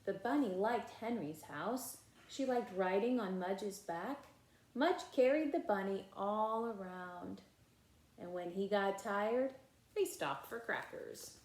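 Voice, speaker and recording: A woman reading a children's picture book aloud in an expressive, emphatic way, with casual asides. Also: medium pitch, slow. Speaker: read-aloud storyteller. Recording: echoey room.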